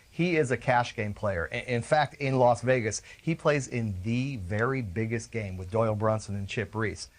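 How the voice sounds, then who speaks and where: A male poker commentator with an average-pitched voice, speaking in an explanatory, admiring way. His delivery is steady and unhurried. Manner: explanatory, admiring player profile.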